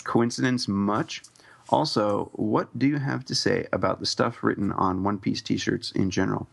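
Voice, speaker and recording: Podcast co-host, male, gravelly and husky, casual and hesitant delivery. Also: on the low side, normal speed. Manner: hedging, thinks aloud with many ums. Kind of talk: casual chat.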